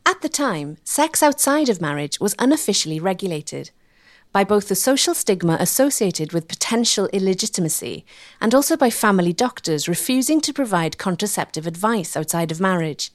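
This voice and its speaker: A woman's voice: mid-range voice, animated, fairly quick, no room sound, clean studio sound. A woman delivering scripted documentary narration as the narrator.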